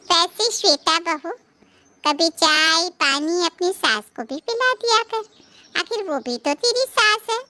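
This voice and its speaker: Woman playing a bright, high, gossipy older neighbour. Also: takes it slowly, dry recording, heavily acted. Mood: reproachful. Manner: animated, nosy. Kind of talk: cartoon moral story acting.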